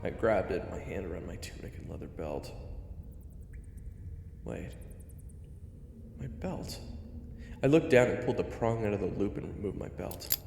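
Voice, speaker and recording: A man playing an exasperated, anxious fantasy adventurer who narrates his own tale in an audio roleplay, with dramatic, expressive delivery. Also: low voice, moderate pace, creaky, pauses often. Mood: suspenseful narrating. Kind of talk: audio roleplay acting and first-person narration. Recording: roomy reverb under loud music.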